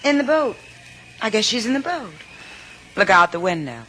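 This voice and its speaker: A woman's voice: medium pitch, big pitch swings, normal speed, a bit bright. An actress playing a sly, coolly amused woman in a radio drama, teasing and drawing out her words. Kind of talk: 1950s radio drama acting. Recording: hissy vintage radio recording.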